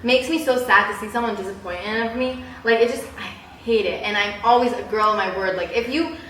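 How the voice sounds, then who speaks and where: A young woman chatting casually in a personal vlog, with a bubbly, animated, giggly way of exaggerating things. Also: medium pitch, a little fast. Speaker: vlogger. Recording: roomy echo, distant mic, background hiss.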